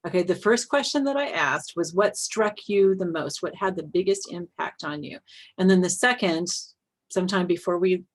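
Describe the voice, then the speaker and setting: A woman co-host with a husky, slightly breathy voice talks about leading a study group. She is friendly and confiding, and she laughs easily during the casual chat. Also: lowish voice, normal speed. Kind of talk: casual teaching conversation.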